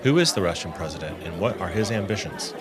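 Deep-voiced male podcast host. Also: expressive, fast, a crowd in the background. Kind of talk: scripted intro and interview questions. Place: studio. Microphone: studio microphone.

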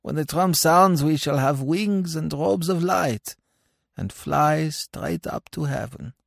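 A man's voice: on the high side, slow, gravelly, no room sound, voice pushed up, heavily acted. A tender, devout husband speaks from his grave as a male reader plays him. His voice is raised and reassuring, with reverent, archaic storybook language, recorded dry. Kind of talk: dramatic storybook reading.

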